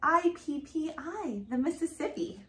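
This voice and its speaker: A woman with a high voice reads a picture book to young children. Her delivery is sing-song and encouraging, as a storytime teacher would be. The room around her is live and echoey. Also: lively intonation, unhurried, pushed and bright. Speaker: storytime reader. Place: living room, indoors. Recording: roomy, echoey home recording.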